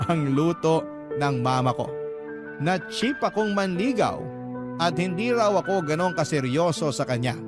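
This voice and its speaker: Man voicing a high-pitched lovelorn Filipino narrator. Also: close to an everyday voice. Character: earnest lovelorn young man recounting his courtship. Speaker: letter narrator. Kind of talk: dramatic scripted first-person narration.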